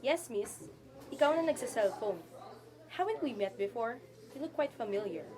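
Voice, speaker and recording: A woman's voice: on the high side, animated, normal speed, crowd noise, lightly acted. A woman playing a stern, sarcastic college teacher in an amateur radio drama, formal and cutting.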